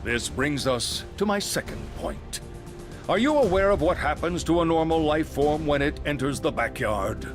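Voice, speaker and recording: A man voicing an erudite, composed scientist in a video game, explaining things in a formal, measured, lecturing way. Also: fairly high pitch, expressive. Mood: measured, instructive. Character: calm, erudite male scientist lecturing on grave theories. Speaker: character in a video game story scene. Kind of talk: dramatic game voice acting, expository lecturing. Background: music.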